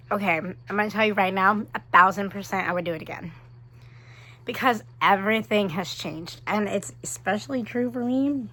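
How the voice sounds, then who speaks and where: Bright-voiced chatty woman, sick with a cold. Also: mid-range voice, slight background noise. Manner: chatty, animated, quick asides. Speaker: vlogger. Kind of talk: casual chat to camera.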